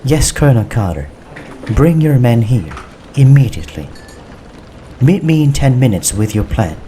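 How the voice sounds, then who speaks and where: A man voicing a firm, commanding female Prime Minister in an audiobook, speaking with measured authority. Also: mid-range voice, sing-song, dark tone, a little hiss or hum, voice pushed up, lightly acted. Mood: decisive. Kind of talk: audiobook character dialogue.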